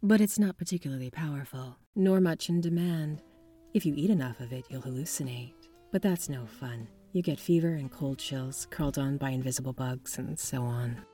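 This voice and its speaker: Low, mellow knowing sleuth played by a woman. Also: normal speed, faint music behind. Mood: matter-of-fact. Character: knowing, matter-of-fact paranormal sleuth woman, the detective's mentor. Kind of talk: 1940s noir radio drama acting.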